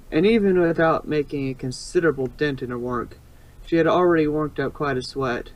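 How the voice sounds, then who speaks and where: A man's voice: mid-range voice, low-quality recording. A man reading fan fiction aloud as narrator, informal, sometimes stumbling and rereading a phrase. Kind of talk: casual storybook narration.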